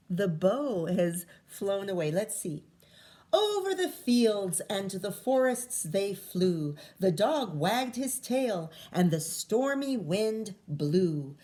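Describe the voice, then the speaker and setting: A woman's voice: medium pitch, darkish tone. A woman teacher reading a children's story aloud expressively, with explanatory asides and questions to her listeners.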